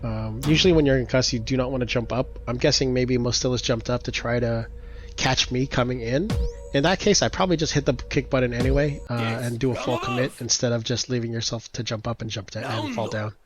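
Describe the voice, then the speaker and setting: A man's voice: medium pitch, steady tone, a little fast, over music. A congested man casually commentating his own game matches, explaining his plans with lots of fillers. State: congested, slightly stuffy.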